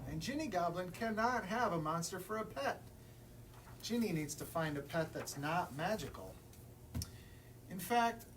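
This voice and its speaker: A man reading a children's picture book aloud as a librarian at story time, expressively, putting questions to young listeners. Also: high voice. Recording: distant mic, room noise.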